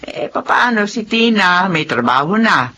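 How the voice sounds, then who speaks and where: An actress playing a caring, wise older mother who gently advises in a Filipino radio drama. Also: medium pitch, very animated, a little slow, full character voice. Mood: chatty. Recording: dull audio with faint background noise.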